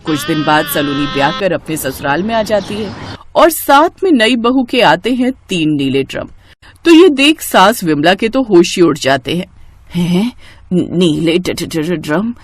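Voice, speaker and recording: A woman tells a scripted, comic moral story as its narrator. Her voice sits at a middle pitch, and the sound is dry and close. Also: big pitch swings, moderate pace, no room sound.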